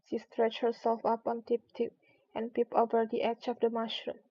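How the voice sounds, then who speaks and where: A woman's voice: on the high side, monotone, moderate pace, breathy edge, dull audio. A woman streamer reading a storybook aloud as narration.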